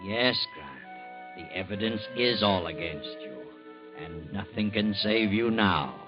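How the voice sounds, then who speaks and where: A sinister, all-knowing storyteller in a 1940s radio drama, speaking low and very slowly with a mocking chuckle. Dark music plays under him on a muffled old broadcast recording. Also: male voice. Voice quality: slow, ominous, with low chuckles.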